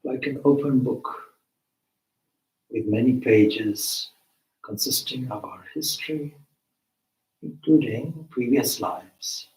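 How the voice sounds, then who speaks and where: A man leading a guided meditation as a teacher, with measured, deliberate phrasing and long pauses. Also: mid-range voice, darkish tone. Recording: roomy, echoing space.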